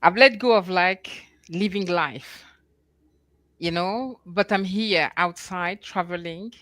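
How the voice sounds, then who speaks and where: A woman chats on an online creator panel, quick to laugh at herself and restart her sentences. Her voice is bright and forward, recorded close and dry. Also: lowish voice, no echo. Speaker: travelling small creator on a panel. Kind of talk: casual online roundtable chat.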